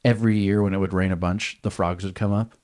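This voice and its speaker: Young male podcast co-host, quick low voice, crude casual banter. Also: steady tone, a little mellow. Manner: loose, swearing, joking. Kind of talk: casual chat.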